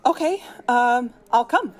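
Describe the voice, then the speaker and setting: A woman's voice: fairly high pitch, lively intonation, slow pace. A woman playing a hesitant, curious newcomer in audio drama dialogue. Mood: tentative.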